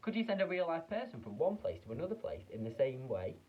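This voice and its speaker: A man reading aloud and voicing a brash, excited young boy who talks eagerly and boastfully. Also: fairly high pitch, fast talker, breathy edge, recorded from a distance, muffled recording, pitched above the performer's own voice.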